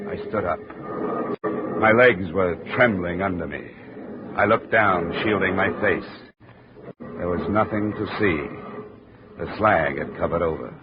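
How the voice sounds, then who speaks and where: A man's voice: low voice, noisy background. An actor in a 1950s radio drama playing a hard-boiled male insurance investigator who narrates in terse, clipped first person. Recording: dull old radio recording with music.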